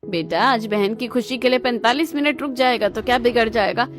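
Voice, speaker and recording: A woman voicing a gentle, worried middle-aged mother in a family cartoon, softly pleading and admonishing. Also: on the high side, a little fast, faint music behind, lightly acted. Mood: gently scolding.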